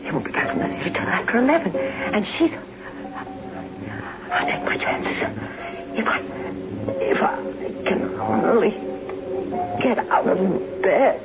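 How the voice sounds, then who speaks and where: An actress playing an ailing, desperate wife, speaking in weak, breathy, halting fragments in a 1970s radio drama. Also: female voice, mid-range voice, big pitch swings, over loud music. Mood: weak, determined. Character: ailing, terrified, desperate wife fighting to live. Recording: dull 1970s radio recording.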